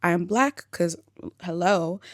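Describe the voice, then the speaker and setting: A woman's voice: lowish voice, takes it slowly. A woman, born in Africa, talking through her thoughts in a reflective personal vlog with frequent ums and likes. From born in Africa, grew up in Australia. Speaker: vlogger.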